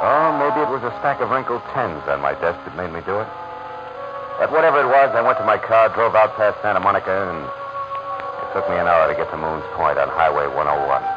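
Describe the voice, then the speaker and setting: An actor playing a weary, wry, hard-boiled male private detective in an old radio noir drama. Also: deep voice. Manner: terse, wry, tough. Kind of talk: 1940s-50s radio noir narration and acting. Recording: old muffled radio recording, loud music.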